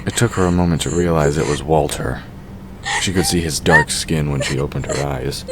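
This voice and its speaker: Man, very deep pitch, scripted fantasy narration, audio drama, noisy recording. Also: moderate pace.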